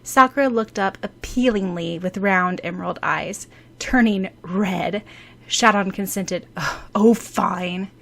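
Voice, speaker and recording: A woman's voice: medium pitch, a little slow, gravelly, bright, forceful tone. A woman reading scripted story narration expressively, as an audiobook narrator.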